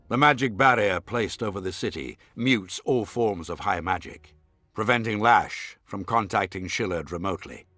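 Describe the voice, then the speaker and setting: A proud, scheming lord from a fantasy game, with a fairly low voice. He talks formally and imperiously, with stern measured authority. Faint music sits behind him. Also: pushed and bright, dry recording. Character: haughty, scheming male nobleman, stern and formal. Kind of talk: video game voice acting.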